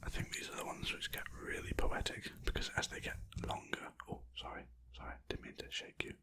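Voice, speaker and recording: An ASMR creator speaking in a soft, unvoiced whisper close to a sensitive mic, rambling and poking fun at themself while reading lines aloud. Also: brisk.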